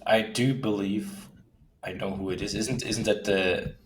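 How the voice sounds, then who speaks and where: A man's voice: lowish voice, steady tone, unhurried, slight background noise. A man in his twenties with an Austrian German accent, chatting casually as a podcast guest. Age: 20s. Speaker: podcast guest, author and men's coach. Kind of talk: casual interview chat.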